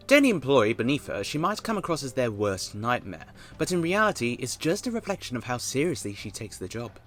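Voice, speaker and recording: A man's voice: medium pitch, a bit bright. A man narrating a scripted casting call for a fan audio drama, articulate and formally worded with chatty asides.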